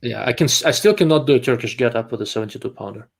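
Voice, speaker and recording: A man's voice: medium pitch, racing, gravelly, slightly rough. A man from Russia, hosting a live-stream chat in a rambling way, full of fillers and restarts. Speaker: host.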